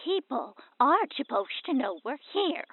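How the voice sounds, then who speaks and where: Bossy, high-pitched UFO hunter on phone-like audio. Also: female voice, very animated, moderate pace. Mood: exasperated, hushing. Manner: hushing, insistent, presenter-like to camera. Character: bossy, excitable amateur UFO hunter wife filming a video. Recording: thin phone-like camcorder audio.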